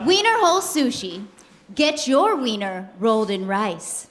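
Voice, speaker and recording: A woman playing a perky housewife in a parody radio commercial, deliberate and salesy, recorded live on stage. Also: on the high side, very animated, a bit bright. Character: perky housewife pitching a product in a mock advertisement.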